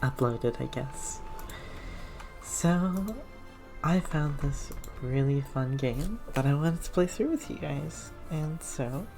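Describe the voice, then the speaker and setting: A woman speaking in a hushed, measured ASMR style, slipping into whispers as she reads a game aloud. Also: sits low, expressive, soft background music. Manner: hushed, slow, measured reading with whispered passages. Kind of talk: ASMR, whispered and soft-spoken game read-through.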